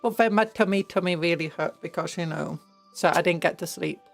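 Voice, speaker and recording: A young British woman with a croaky voice from hay fever, rambling playfully on a livestream while she paints miniatures, with restarts and sudden squeals. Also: lowish voice, fairly quick, faint music behind. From Britain. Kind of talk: casual livestream chat.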